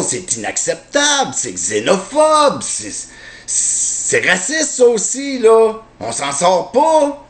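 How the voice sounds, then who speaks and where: Québécois man, lively swooping pitch, slightly high voice, sarcastic ranting monologue. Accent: Québécois French. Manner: heated, sarcastic, repetitive rhetorical questions. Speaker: commentator. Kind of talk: opinion monologue to camera.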